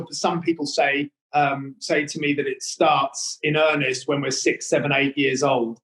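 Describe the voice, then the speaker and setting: A man's voice: a little high, poor audio. A man hosting an interview, rambling with many fillers and restarts. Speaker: interview host. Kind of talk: hesitant conversational interview.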